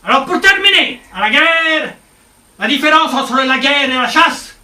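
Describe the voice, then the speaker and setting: A man playing a high-voiced, naive stage clown. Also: animated, measured pace, sharp tone, exaggerated voice. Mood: jokey. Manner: theatrical, declamatory. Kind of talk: live stage comedy act. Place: theatre stage. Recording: roomy theatre, from far off, with music.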